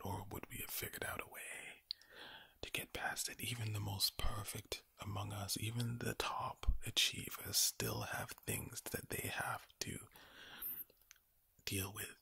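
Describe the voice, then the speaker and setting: A man whispers very close to the mic in a low, heavily breathy voice. He gives an ASMR pep talk, leaning on repetition and rhetorical questions. The creaky undertone gives him an ominous, villain-like sound. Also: bright, forceful tone, dry recording. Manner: slow deliberate phrasing with repetition and rhetorical questions. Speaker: ASMR video creator. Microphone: close microphone.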